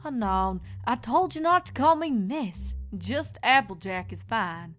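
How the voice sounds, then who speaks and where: A woman's voice: on the high side, expressive, unhurried. A woman voicing a friendly, down-to-earth farm mare and big sister in a cartoon fan audio story. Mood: mildly chiding. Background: music.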